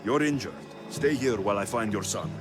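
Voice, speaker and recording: An actor playing a gruff, earnest mercenary hero in a video game cutscene. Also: male voice, fairly low pitch, a little fast, lightly acted. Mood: steady, concerned. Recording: loud cinematic music behind.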